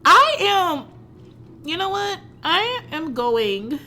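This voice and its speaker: A woman streamer reading a story game aloud and reacting to it in an excitable, flustered way, often giggling. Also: very high-pitched, very slow.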